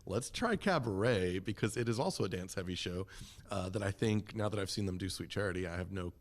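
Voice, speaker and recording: Low-pitched man, enthusiastic interview guest. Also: animated, a little fast. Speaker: community theater representative, podcast guest. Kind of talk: casual interview conversation.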